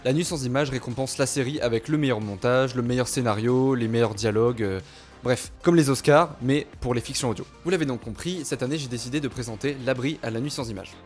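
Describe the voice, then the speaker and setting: A man's voice: mid-range voice, brisk, faint music behind. A man narrating a scripted vlog as its creator, addressing his viewers directly. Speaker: video creator, audio fiction author.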